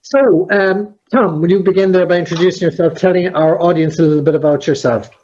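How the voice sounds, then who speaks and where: A man hosts a conversation in a fairly high voice. He talks at an easy pace, pausing on fillers. The recording sounds dull and lo-fi. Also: a little mellow. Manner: measured, hesitant with fillers. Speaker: host. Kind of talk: hosted conversation.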